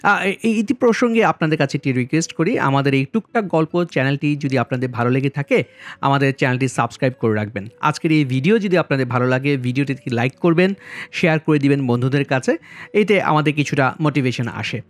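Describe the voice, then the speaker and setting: A man narrating a vlog as its host, politely and in measured phrases, speaking directly to viewers. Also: mid-range voice, no room sound. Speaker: travel vlogger and host. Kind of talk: vlog voice-over narration with review commentary. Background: background music.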